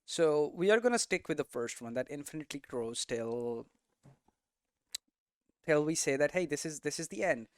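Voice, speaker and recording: Clear, higher-pitched man explaining informally. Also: expressive, normal speed, long pauses. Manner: conversational, thinking aloud, frequent "you know" and "right?" tags. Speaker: game development streamer and teacher.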